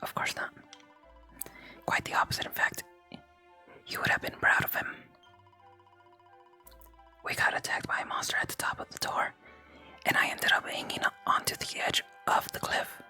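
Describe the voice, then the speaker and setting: An ASMR streamer voicing an eager young village girl from a video game in a breathy whisper. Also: moderate pace, long pauses, bright, forceful tone. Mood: excited, admiring. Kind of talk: whispered game dialogue reading. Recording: close whisper with game music behind.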